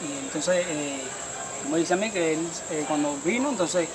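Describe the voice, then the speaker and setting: A man's voice: on the high side, normal speed, poor audio. A young man interviewing outdoors at night, prompting and summarizing the answers back, speaking away from the handheld mic. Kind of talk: street interview. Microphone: handheld mic, held away from his own mouth.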